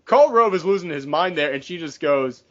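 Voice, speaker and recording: A man hosting a podcast, chatting casually and opinionatedly, telling stories in an animated, profane way. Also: fairly high pitch, normal speed. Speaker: podcast host. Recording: dull lo-fi voice recording.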